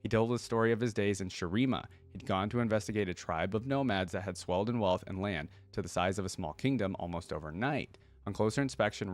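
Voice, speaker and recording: A man's voice: low voice, no room sound. A man reading a fantasy story aloud expressively and adding casual remarks as a reaction host.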